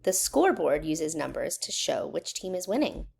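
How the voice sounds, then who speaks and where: A woman reading a children's educational book aloud in a measured, instructional way, putting questions to young listeners. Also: low voice, very animated, creaky, a little mellow, husky. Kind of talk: children's read-aloud narration.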